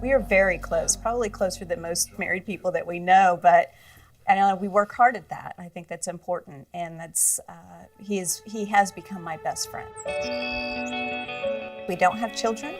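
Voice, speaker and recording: A woman's voice: on the low side, normal speed. A woman in an interview speaking openly and heartfelt about her home life. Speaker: interviewee, rider's wife. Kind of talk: interview testimony. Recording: distant mic with music bed.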